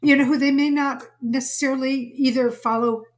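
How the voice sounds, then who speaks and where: A woman hosts an interview, sounding eager as she stumbles over her words. Her voice sits somewhat high for a woman. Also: fairly even intonation, brisk. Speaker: host.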